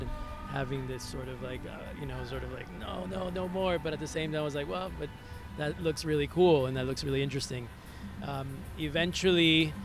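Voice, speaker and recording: Reflective Venezuelan man over background music. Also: medium pitch. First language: Spanish. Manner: reflective, halting, repeats words as he searches. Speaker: interview guest, musician and hospitality entrepreneur. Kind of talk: conversational personal storytelling.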